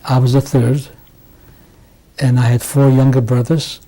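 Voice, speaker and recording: A Hungarian-born man in his sixties recalls his life slowly, stopping and restarting his sentences. His voice is very dark and mellow. It stays flat and level, with little rise or fall. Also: medium pitch. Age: 60s. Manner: halting, with many restarts and pauses. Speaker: interviewee. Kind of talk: oral history testimony. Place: home interview.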